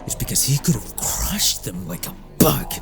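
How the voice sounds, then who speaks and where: An actor playing a hardened exorcist warrior, a blunt mentor who speaks in a weighty, lecturing way in an audiobook drama. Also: male voice, medium pitch, big pitch swings, moderate pace, gravelly, music in the background. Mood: stern, grave.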